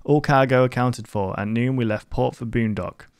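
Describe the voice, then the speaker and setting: A man playing a grave investigator in scripted analog horror narration, formal, measured and ominous. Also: medium pitch, smooth, dry recording, clean studio sound, natural voice. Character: grave investigator reading a doomed ship's log.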